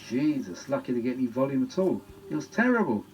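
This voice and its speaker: A man's voice: medium pitch, sing-song, quick pace, darkish tone, dull audio. A British man giving a casual, rambling spoken demonstration as a repair vlogger, chatty and given to exclamations. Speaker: vintage audio repair vlogger. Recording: noisy recording with clear background noise.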